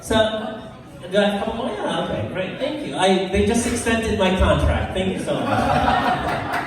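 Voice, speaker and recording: A man's voice: high voice, sing-song, very noisy. An older man from Iraq with an Iraqi accent, performing a self-deprecating stand-up routine in a bar and chuckling through his punchlines. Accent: Iraqi Arabic. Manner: self-deprecating, unhurried punchlines with laughing asides. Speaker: stand-up comedian. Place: bar stage. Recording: echoey bar from far off.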